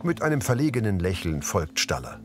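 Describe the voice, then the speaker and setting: A man's voice: low voice, big pitch swings, a little fast, music in the background. A man reading scripted audio description that narrates on-screen action.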